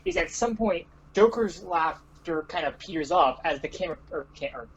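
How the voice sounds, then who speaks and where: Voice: medium pitch, lively intonation, normal speed. A co-host chatting casually in an offhand review discussion. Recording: dull, slightly noisy home recording.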